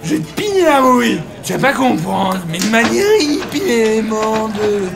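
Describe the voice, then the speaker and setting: An actor playing a crude, dim, hot-headed drunken fighter in a fantasy audio comedy. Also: male voice, high-pitched, expressive, normal speed, creaky. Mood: threatening. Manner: crude, blustering, slangy, threatening. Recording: very loud music under the voice.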